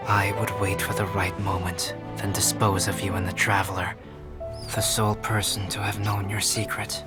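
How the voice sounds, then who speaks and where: An actor playing a calm, scholarly young male alchemist in a game, speaking in measured, formal, explanatory phrases. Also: fairly low pitch, close to an everyday voice. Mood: hushed, brooding. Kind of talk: scripted game dialogue, explanatory. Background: music.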